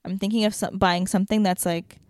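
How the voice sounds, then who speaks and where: A woman's voice: mid-range voice, fairly even intonation, fairly quick, a little mellow, no echo, clean studio sound. A young woman in casual conversation, chatty and rambling, filling her talk with "like" and trailing off mid-thought. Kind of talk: casual chat.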